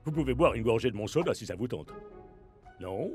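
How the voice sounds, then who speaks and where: A man's voice: medium pitch, animated, fairly quick, over loud music, close to an everyday voice. A man voicing a friendly male character in a cartoon. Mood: hopeful, offering. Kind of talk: cartoon voice acting.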